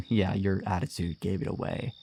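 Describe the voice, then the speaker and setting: Wanted: male actor for a wry, gruff bounty hunter in fantasy audio roleplay, low-pitched, flirtatious and teasing. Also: fairly even intonation, moderate pace, dark tone, exaggerated voice. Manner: teasing, wry, swearing, sighing.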